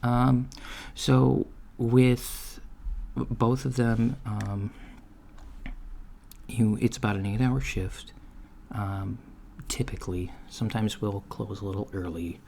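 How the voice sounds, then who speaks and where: A soft-spoken man playing a polite job interviewer in an improvised ASMR roleplay, talking in a halting, hesitant way. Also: fairly low pitch, gravelly. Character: polite, businesslike alien-store manager interviewing a job applicant. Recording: lo-fi with steady background noise. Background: computer keyboard typing.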